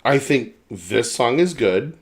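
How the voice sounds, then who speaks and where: A man's voice: medium pitch, lively intonation, slow pace. A man from the American Midwest chatting casually about an album, teasing his friend and sharing trivia he looked up. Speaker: podcast co-host.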